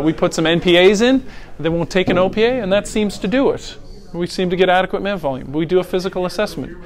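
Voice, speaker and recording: A middle-aged man, a paramedic educator, giving a conversational lecture that walks through scenarios and asks the audience rhetorical questions. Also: on the high side. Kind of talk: teaching lecture on airway decisions.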